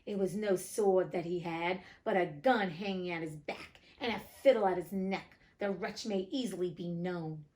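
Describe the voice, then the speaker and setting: A woman's voice: lowish voice, moderate pace, few pauses, a little mellow, close to an everyday voice. Woman acting out an exclamatory, whining old miser. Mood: aggrieved. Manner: exclamatory, pleading and ranting. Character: whining, scheming, indignant old miser man.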